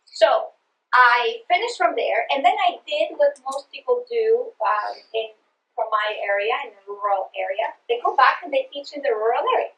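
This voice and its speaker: A middle-aged woman with a Greek accent speaks to a room of teachers, earnest and passionate, often restarting her phrases. Her voice sits a little high and rings in an echoey meeting room. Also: fairly bright tone. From Greece. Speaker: literacy presenter. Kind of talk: professional development talk to teachers.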